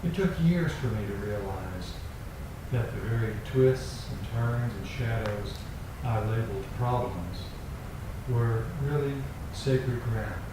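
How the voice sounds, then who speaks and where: A man with a fairly low voice reads verse at a meditation group. His delivery is measured, with deliberate pauses between short phrases. There is clear background noise on the recording. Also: animated, heavy reverb, recorded from a distance. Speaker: poetry reader at a meditation group. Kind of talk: slow, measured poem reading. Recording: clearly noisy room recording.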